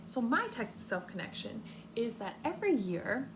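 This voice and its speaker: A woman teacher reading a story aloud to young children in an expressive, deliberate way, pausing to put questions to them. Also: fairly high pitch, noisy background.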